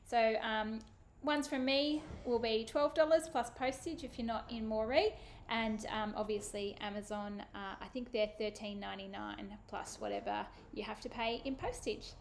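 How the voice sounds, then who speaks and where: A woman, a children's author, giving an expressive, animated dramatic reading of her book. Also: a little high, moderate pace, vocal fry, slight background noise. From Australia.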